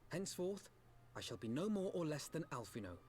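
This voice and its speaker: Earnest, proud young commander. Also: male voice, on the high side, big pitch swings, a little fast, darkish tone, a little hiss or hum. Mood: regretful, resolute. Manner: formal, solemn, eloquent. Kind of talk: fantasy game acting in archaic formal English.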